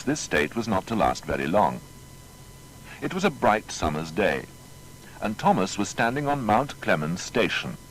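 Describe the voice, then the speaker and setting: A man narrating a scripted dramatised biography in a formal, measured storytelling manner. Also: sits low, a little hiss or hum, poor audio.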